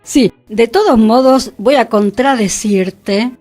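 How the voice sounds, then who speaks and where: Mujer argentina de voz aguda en radio. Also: female voice, a little high, slow pace, darkish tone, faint music behind. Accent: Argentine Rioplatense Spanish. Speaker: radio co-host. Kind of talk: conversational radio talk.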